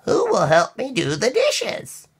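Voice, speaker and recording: A man voicing a fussy, industrious female hen from a storybook, in an exaggerated singsong with drawn-out calls. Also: high voice, huge pitch swings, slightly rough, voice pushed up, heavily acted. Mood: cheery calling out.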